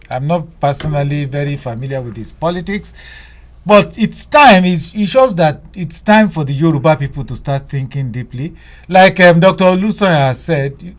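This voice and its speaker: A male radio host talking casually, with many fillers and restarts. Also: sits high.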